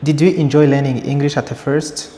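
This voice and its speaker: A man's voice: on the high side, steady tone, brisk, mellow. A male interviewer asking short, simple questions and answering often with "mm". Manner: simple questions, frequent "mm" backchannels. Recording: echoey room with long reverb, faint noise.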